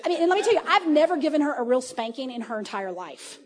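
Animated high-voiced woman preaching. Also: quick pace. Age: 40s. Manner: animated, laughing, many fillers and restarts. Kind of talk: casual conversational sermon. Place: church service.

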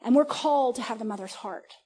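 A woman in her forties giving a casual, conversational sermon at a church service, animated, often laughing and restarting her sentences. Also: on the high side, fast, husky. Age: 40s. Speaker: preacher.

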